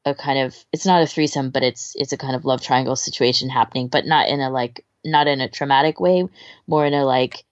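A woman's voice: rumbling low, steady tone, fairly quick, dry recording, lo-fi sound. A woman in casual, informal chat as a podcast co-host, rambling and hesitant as she gives recommendations, often trailing off. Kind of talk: casual chat, recommending fan fiction audio.

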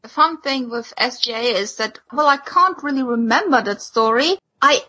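A woman with a raspy voice chatting casually as a panelist on a fandom podcast. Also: fairly high pitch, normal speed, pushed and bright. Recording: dull lo-fi sound.

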